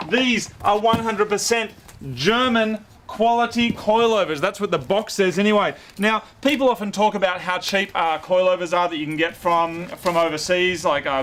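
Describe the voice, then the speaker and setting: A man's voice: high-pitched, a bit bright, slight background noise. An Australian man presenting a car show casually to camera in blokey, slangy talk. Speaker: host of a car modification show.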